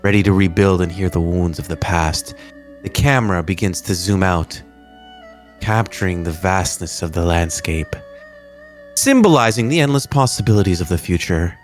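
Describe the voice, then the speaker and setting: A man's voice: sits low, normal speed, soft background music. A man reading a screenplay aloud as narrator, theatrical and solemn, drawing out his phrases. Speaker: narrator reading a movie script. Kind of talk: dramatic scripted screenplay narration.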